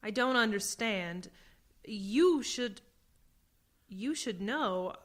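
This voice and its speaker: A woman playing an earnest, bewildered exile in a science fiction radio drama, guarded and halting. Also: mid-range voice, lively intonation. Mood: confused, defensive.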